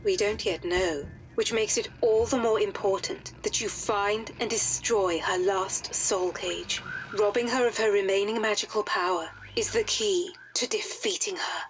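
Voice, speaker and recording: A woman's voice: medium pitch, a little slow, hardly any pauses, a little hiss or hum, natural voice, rough recording. An actress playing a composed aristocratic lady who briefs adventurers in a formal, earnest way. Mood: grave. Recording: music behind.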